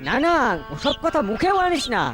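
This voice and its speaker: Crafty, animated cartoon foodie boy. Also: high voice, normal speed, soft background music. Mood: self-satisfied. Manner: dramatic, rhyming wordplay. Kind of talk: children's cartoon dubbing.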